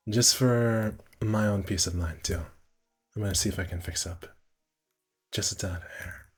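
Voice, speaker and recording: A man's voice: fairly low pitch, normal speed, breathy edge, soft background music. A man casually streaming a visual novel, reading the narration aloud and correcting himself with muttered asides.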